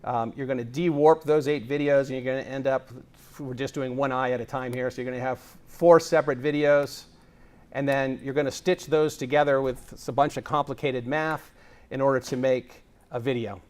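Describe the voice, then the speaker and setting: A man's voice: mid-range voice, steady tone, moderate pace, darkish tone. A man giving a conference presentation on video production, explaining with energy and frequent fillers. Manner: enthusiastic, explanatory, filler-heavy. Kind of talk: tech conference talk. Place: conference stage.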